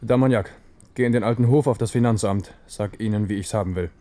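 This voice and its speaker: A man's voice: lowish voice, fast talker, mellow, close to an everyday voice. An actor playing an aging, generous and resolute French king in a German radio drama. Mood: magnanimous, commanding.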